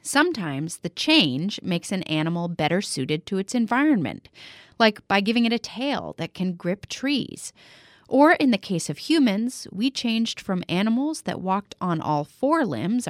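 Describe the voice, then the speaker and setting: A woman's voice: medium pitch, very animated, unhurried, no room sound, studio quality. A woman hosting a podcast for children, narrating in an upbeat, playful way. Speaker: podcast host.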